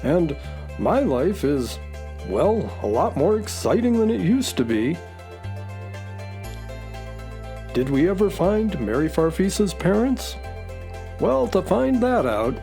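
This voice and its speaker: A woman's voice: fairly low pitch, big pitch swings, measured pace, mellow. A woman playing a kindly, folksy space horse who tells stories in a children's radio drama. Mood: storytelling. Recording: music behind the voice.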